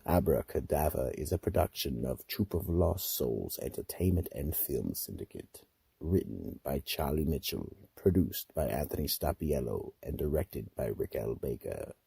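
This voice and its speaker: A male radio mystery narrator with an extremely husky, dark voice. He is dramatic and deliberate, swinging his pitch for ominous effect, recorded close and dry. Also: animated, no echo. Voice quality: raspy, gravelly. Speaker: narrator and announcer.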